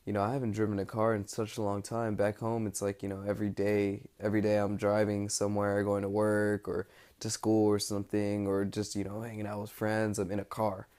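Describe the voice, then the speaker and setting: A young man with an American accent talks through a personal audio log in a fairly low voice. His delivery is flat and unhurried in melody, rambling casually and stopping often to gather his thoughts. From United States. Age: young adult. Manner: hesitant, pausing often mid-thought. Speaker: study-abroad student keeping an audio log. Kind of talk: casual, rambling spoken diary.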